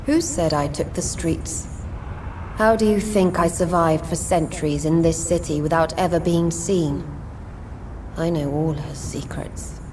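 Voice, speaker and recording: An actress playing an ancient, wise vampire woman of the sewers in a video game, measured, archaic and cryptic in speech. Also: sits low, background noise. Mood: knowing, cryptic. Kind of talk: video game drama acting.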